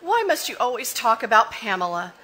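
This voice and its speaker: A woman's voice: a little high, big pitch swings, brisk, sharp tone, slight background noise. A woman playing a resentful sister who lashes out in emotional outbursts, in a live 1940s-style radio drama. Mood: bitter. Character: bitter, easily led younger sister.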